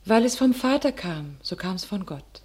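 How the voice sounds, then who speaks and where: A young warrior maiden who speaks in quiet, solemn verse, restrained and resigned. The delivery is stately and theatrical, as in a classic radio play. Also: female voice, medium pitch, animated, a little slow, husky. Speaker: heroine.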